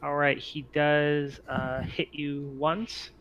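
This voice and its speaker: A man acting as game master, improvising narration and talking through rules in a hesitant, deliberate way. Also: fairly high pitch, flat delivery, fairly bright tone, lo-fi sound. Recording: clear background noise.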